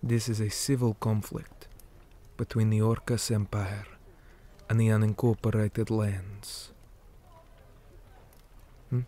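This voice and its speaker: A man playing a weary, stern orc commander in an ASMR roleplay, speaking in a hushed, deliberate manner that often drops toward a whisper. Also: fairly low pitch, little pitch movement. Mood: calm, probing. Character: weary male orc commander, stern, measured, dutiful soldier. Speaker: interrogator in a roleplay.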